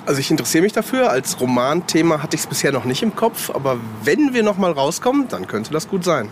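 A man's voice: a little high, big pitch swings, brisk. A man answering casually as a guest in an on-location interview outdoors. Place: outdoors on a city street. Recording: clear urban outdoor background noise.

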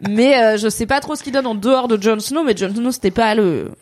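A woman's voice: fairly high pitch, brisk, a little mellow, slight background noise. A woman hosting a casual pop-culture chat, talking at length and explaining eagerly with lots of fillers. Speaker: podcast host.

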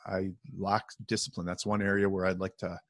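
A man's voice: low-pitched, flat delivery, brisk, a bit bright, no room sound, studio quality. A man co-hosting a podcast, chatting casually in an informal, joking way with some salty language. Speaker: podcast co-host. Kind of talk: casual conversational podcast chat.

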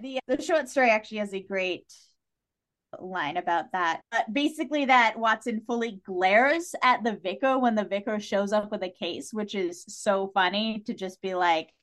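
A woman's voice: medium pitch, moderate pace, a bit bright. A woman on a podcast panel, chatting casually with a wry, joking manner.

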